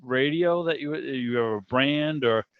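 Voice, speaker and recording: A man's voice: medium pitch, measured pace, smooth, no room sound. A man hosting a club meeting on a video call, chatting casually in a rambling, conversational way. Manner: rambling, conversational, frequent "you know". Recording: dull, roomless video call audio.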